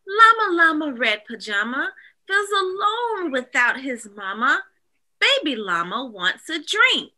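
A woman in an expressive, animated read-aloud style, speaking directly to young children as a teacher would. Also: sits high, measured pace. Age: adult.

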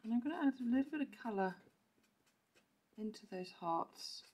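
A woman with a croaky voice, chatting through a step-by-step card-making tutorial and clearing her throat now and then. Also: fairly high pitch, animated, moderate pace, darkish tone. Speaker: papercraft demonstrator. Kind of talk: casual step-by-step craft tutorial.

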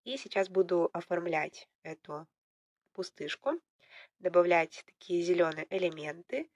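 Slightly hoarse young woman, low and dry. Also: unhurried, no room sound, highs cut off. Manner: relaxed, self-ironic, chatty. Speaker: vlogger, polymer clay crafter. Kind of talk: casual craft tutorial narration. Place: indoors at home, at a table.

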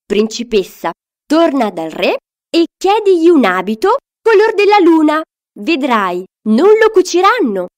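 A fairy godmother from a storybook, as a woman narrator plays her. She instructs emphatically, scheming and encouraging. Her pitch is high and very animated. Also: a little slow.

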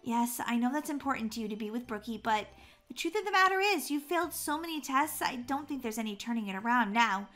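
A woman voicing a calm, gently firm mother in an acted children's family story, speaking in a measured way. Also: on the high side, soft background music.

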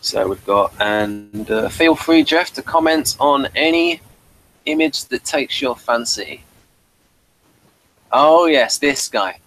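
Bright-voiced man hosting a casual review. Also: medium pitch, expressive, slow pace, soft background music, low-quality recording. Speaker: host of a review show. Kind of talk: casual video-call review chat. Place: small cluttered room at home. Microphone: headset on a video call.

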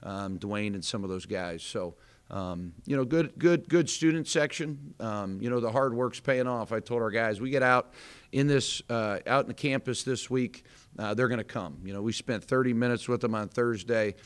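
A man's voice: medium pitch, moderate pace. A man in his thirties with an American accent, a head basketball coach speaking candidly at a postgame press conference, with many fillers and restarts. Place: indoor press room in front of a sponsor backdrop. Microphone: table microphone in front.

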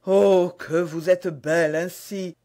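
A man's voice: high-pitched, steady tone, takes it slowly, warm tone. A man reading the part of a passionate, melancholy young duke in love, ardent and pleading, in a historical romance audiobook. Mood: exultant, loving.

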